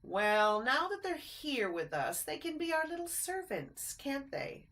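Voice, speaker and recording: A woman's voice: sits high, very animated, unhurried, a bit bright, voice pushed up, full character voice. A woman voicing a mean male sewer rat who leads a gang, speaking in a sly, taunting way in a children's story. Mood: scheming.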